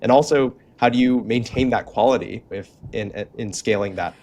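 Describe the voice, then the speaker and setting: A man's voice: medium pitch, moderate pace, a little mellow, a little hiss or hum. A man hosting an interview podcast, thinking aloud as he frames his questions in an informal, conversational way.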